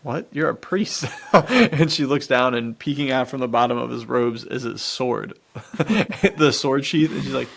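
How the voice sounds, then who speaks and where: American guy podcast cohost, creaky gravelly voice, medium pitch, slangy movie fan chat. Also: fairly bright tone. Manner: informal, slangy, enthusiastic, quick to laugh. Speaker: podcast co-host. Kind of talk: casual film chat.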